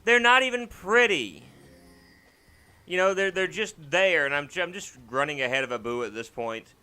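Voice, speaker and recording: Male gaming host, very bright and clear, casual joking rambler. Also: high-pitched, lively intonation, moderate pace. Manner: rambling, joking, repeats words. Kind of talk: casual let's play commentary.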